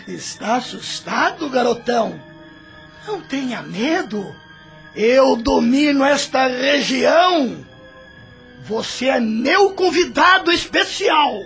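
A man's voice: very high voice, animated, extremely slow, creaky, pauses often, a bit bright, heavily acted. A man voicing a menacing, mocking male spirit in a radio drama, drawling his taunts with cackling mirth. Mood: menacing, boastful. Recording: music behind the voice.

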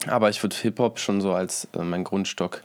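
Berlin musician in his thirties, slightly low voice, loose slangy chat. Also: fairly quick. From Berlin, Germany. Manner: relaxed, slangy, rambling. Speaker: musician and producer. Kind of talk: casual interview chat.

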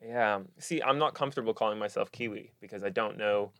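A young man with an American accent, hosting a casual podcast chat in a relaxed, hesitant way with many pauses. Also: lowish voice, no room sound, studio quality. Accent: American Midwest. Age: young adult. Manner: relaxed, hesitant, lots of ums and laughs. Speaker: podcast host.

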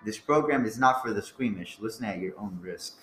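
A man's voice: lowish voice, expressive, a little fast, natural voice. A man playing a theatrical, ominous horror host who introduces a radio play. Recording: echoey room with music.

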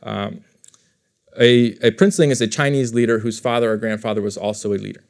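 A man's voice: fairly low pitch, fairly even intonation, brisk, gravelly. A male professor giving an explanatory lecture to a live audience in a room, talking it through with fillers and self-corrections. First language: English.

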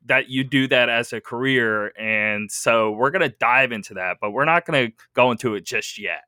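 A man's voice: medium pitch, normal speed, bright, forceful tone, clear, resonant tone, no room sound, clean studio sound. A man in his thirties hosting a gaming podcast, eager and chatty with many fillers. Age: 30s. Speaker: podcast host. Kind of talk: casual podcast chat.

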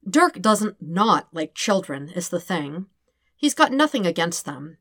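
A woman reading fan fiction aloud as an expressive narrator. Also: fairly low pitch, measured pace, no echo, studio quality. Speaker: podfic reader.

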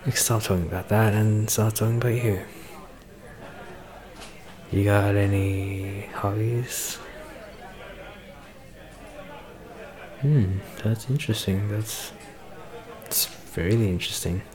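A man playing a shy, flirtatious celebrity in an ASMR romance roleplay, speaking hesitantly with nervous soft laughs. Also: on the low side, fairly even intonation, unhurried, pauses often, lightly acted. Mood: chatty, earnest. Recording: bar crowd chatter behind voice. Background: crowd noise of a bar.

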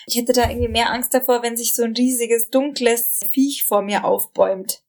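A woman's voice: a little high, fairly even intonation, normal speed, a little mellow. A young woman chatting casually as a podcast co-host, giggly and fond of telling anecdotes. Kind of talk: casual chat.

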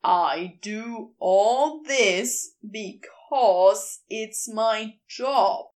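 A woman playing a blunt, sardonic male geologist with a gruff, terse, dry manner in an audio story. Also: on the high side, drawn-out words, darkish tone. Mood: exasperated, emphatic.

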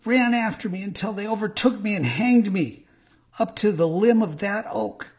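A man voicing a naive, eager young wooden puppet boy in a storybook reading, earnest and excitable. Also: high voice, moderate pace, dull audio, voice pushed up. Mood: anxious, earnest.

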